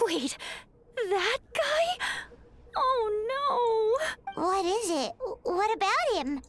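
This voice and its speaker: A woman voicing a small, chirpy, excitable fairy companion with a squeaky, childlike voice in a video game. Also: drawn-out words, heavily acted. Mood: dismayed. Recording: loud game music behind.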